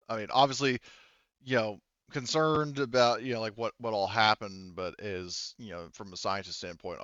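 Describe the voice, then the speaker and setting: A man with a low, smooth voice plays a tabletop roleplaying game. He narrates casually and hesitantly, slipping into measured dialogue, on a dry but slightly dull recording. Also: a bit bright, dry recording.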